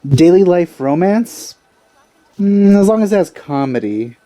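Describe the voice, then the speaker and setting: A man's voice: high voice, expressive, measured pace, dark tone, no echo. A man giving casual let's play commentary on a video game. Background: faint game music.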